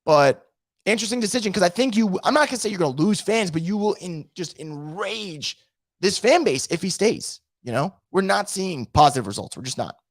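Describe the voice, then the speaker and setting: A man hosting a sports recap stream, hoarse and losing his voice from feeling sick, ranting and reading out chat comments. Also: high voice, fairly quick, no echo, clean studio sound. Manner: animated, frustrated, swearing. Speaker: sports podcast host.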